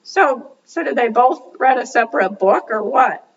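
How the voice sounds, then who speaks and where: A woman voicing a curious, excitable puppet who asks questions in an exclamatory way during a puppet show dialogue. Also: fairly high pitch, unhurried, husky, distant mic, dull audio, exaggerated voice. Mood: inquisitive.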